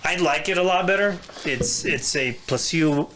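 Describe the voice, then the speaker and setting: A man's voice: fairly high pitch, normal speed, a little hiss or hum. A man with a Filipino accent co-hosting a podcast, chatting casually with lots of fillers and restarts. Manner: hesitant, many fillers and repeats. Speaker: podcast co-host. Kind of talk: casual chat.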